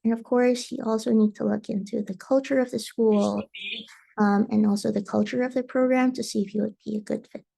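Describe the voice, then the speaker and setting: A young woman from Indonesia answers panel questions in a casual, detailed way, giving advice with frequent ums. Her voice is heavily creaky and mellow rather than bright. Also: fairly high pitch, steady tone, fairly quick, poor audio. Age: young adult. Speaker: transfer student panelist.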